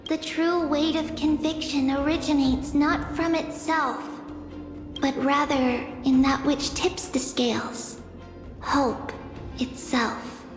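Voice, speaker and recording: A woman's voice: sits high, fairly even intonation, slow pace. A woman playing a solemn female oracle in a video game, pronouncing judgment in a ceremonial, formal way. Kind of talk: English video game voice acting. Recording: echoey hall reverb, faint music behind.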